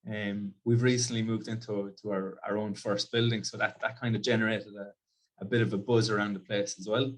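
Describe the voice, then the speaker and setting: A man's voice: on the low side, level tone, fairly quick. A man with an Irish accent, speaking as a company chief in a casual interview, often repeating words as he goes. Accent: Irish Midlands. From Ireland. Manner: frequent word repeats, casual phrasing. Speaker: company CEO guest. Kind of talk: relaxed interview chat. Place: video call.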